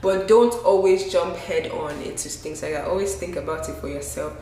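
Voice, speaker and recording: A woman's voice: lowish voice, normal speed, dark tone. A young Nigerian woman with a Nigerian accent, chatting casually as a vlogger and gesturing as she talks. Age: young adult. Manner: chatty, gestures while talking. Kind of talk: casual commentary. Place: indoor room. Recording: echoey room with faint noise.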